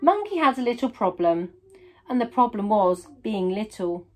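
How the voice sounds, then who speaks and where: A woman's voice: mid-range voice, very animated, moderate pace, a little mellow. A woman in her thirties reading a children's picture book aloud expressively, with dramatic emphasis on key words. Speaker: storybook reader.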